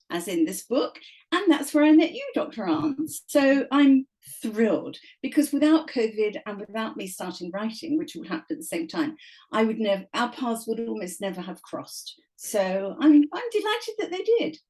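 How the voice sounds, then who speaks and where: A woman's voice: medium pitch, a little mellow, rough recording. An English woman in her seventies, a guest author talking in a chatty, self-deprecating way with filler ums over a remote call. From England. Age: 70s. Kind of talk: casual interview chat.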